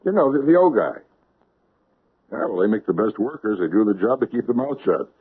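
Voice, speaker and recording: An actor playing a pushy, self-serving business owner in a 1970s radio drama. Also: male voice, on the low side, big pitch swings, a little fast, husky. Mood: scheming. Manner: pushy, wheedling. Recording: tinny old radio broadcast.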